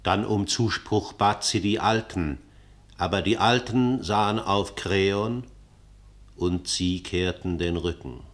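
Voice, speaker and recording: Grave narrator, low voice, very slow verse delivery, ancient tragedy on radio. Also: male voice.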